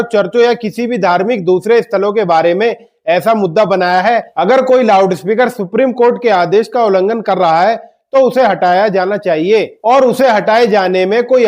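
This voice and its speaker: A man with a clearly high-pitched voice presents political news commentary. He reads scripted material emphatically, addressing viewers directly with pointed rhetorical questions. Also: darkish tone. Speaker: news commentator and channel host.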